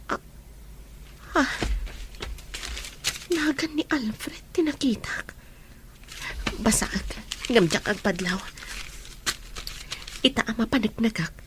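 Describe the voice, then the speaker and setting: A woman's voice: fairly high pitch, animated, takes it slowly, pauses often, background noise. A woman playing an earnest, secretly troubled young friend in an Ilocano audio drama. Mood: troubled, hushed.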